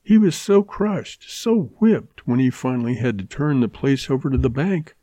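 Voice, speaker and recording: A man's voice: mid-range voice, lively intonation, normal speed, warm tone, no echo, voice pushed up, natural voice. A man voicing an earnest, tearful young ranch woman in a read western story. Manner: pleading, heartfelt.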